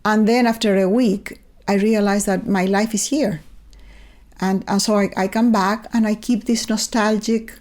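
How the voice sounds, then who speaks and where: An older woman from Chile with a Chilean accent, a native Spanish speaker, talking in a measured, thoughtful way as an interview guest on a remote call. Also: medium pitch, darkish tone. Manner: thoughtful, measured storytelling with repeated restarts. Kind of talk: reflective conversational interview. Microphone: remote video call.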